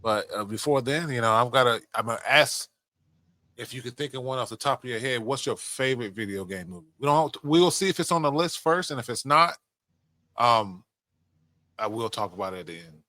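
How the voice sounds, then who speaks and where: A man's voice: mid-range voice, lively intonation, brisk, bright, forceful tone, no echo. A man hosting a podcast in casual chat, swearing freely as he talks. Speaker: podcast host.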